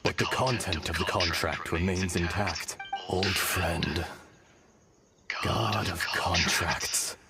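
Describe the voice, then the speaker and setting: An actor playing an ancient, weary male earth dragon in a game cutscene, speaking slowly and solemnly. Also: low voice, vocal fry, full character voice. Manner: slow, solemn and grave. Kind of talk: video game cutscene dialogue. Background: orchestral game music.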